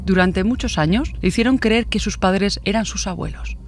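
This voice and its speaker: A woman's voice: medium pitch, moderate pace, a little hiss or hum. A woman delivering scripted true-crime narration as a podcast narrator.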